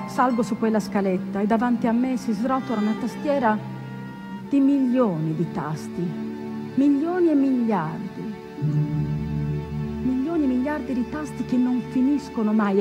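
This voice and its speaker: A woman acting a wistful, intense ship's pianist's tale as a theatrical monologue, deliberate and emphatic, with dramatic pauses. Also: a little high, close to an everyday voice. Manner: deliberate, emphatic, repeating phrases. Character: male ocean-liner pianist and his storytelling friend, wistful, intense. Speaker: stage actress. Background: instrumental music.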